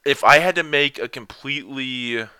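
A young man with a deep voice, rambling and self-deprecating as he answers viewer questions in a casual vlog. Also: normal speed, pushed and bright, clear, resonant tone, dry recording.

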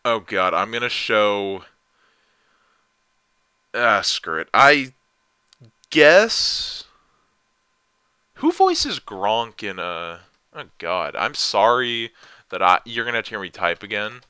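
Rambling young man with a deep voice. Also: big pitch swings, slow, long pauses, bright, forceful tone, clean tone, no room sound, studio quality. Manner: rambling, self-deprecating, trails off and restarts. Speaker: vlogger answering viewer questions. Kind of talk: casual rambling chat.

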